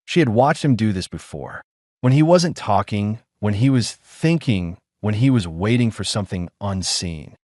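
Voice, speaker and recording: Lower-voiced man narrating with lively inflection. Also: normal speed, no room sound, studio quality. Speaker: audiobook narrator. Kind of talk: scripted story narration.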